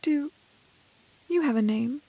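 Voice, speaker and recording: A woman playing a prim, plucky, kind-hearted young woman in a fairy-tale roleplay, with soft voice and proper, old-fashioned phrasing. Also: high voice, slow, no room sound. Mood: tender, reassuring. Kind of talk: romantic fantasy roleplay audio acting.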